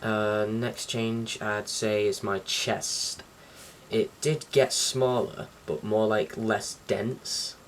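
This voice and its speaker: A man's voice: fairly low pitch, flat delivery, slow pace. A young man talking casually to camera as a vlogger, in an informal, slightly hesitant and rambling way. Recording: distant mic with faint background noise.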